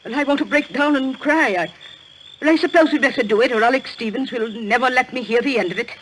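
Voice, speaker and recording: A woman's voice: sits high, fast talker, a bit bright, low-quality recording. A flustered, grieving aunt dithers and falters plaintively. She sounds gullible and unsure of herself. The old radio thriller recording carries a little noise.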